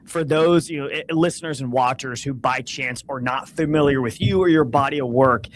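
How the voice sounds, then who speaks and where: A man's voice: mid-range voice, normal speed, slight background noise. A man hosting a podcast, introducing and questioning his guest in an enthusiastic, friendly, casual way. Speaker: podcast host. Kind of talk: casual interview chat.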